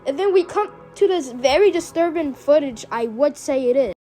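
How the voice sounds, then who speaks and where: High-pitched woman giving casual reaction commentary. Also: animated, moderate pace, loud music behind the voice. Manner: informal slangy, rambling recap. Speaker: host of a reaction video.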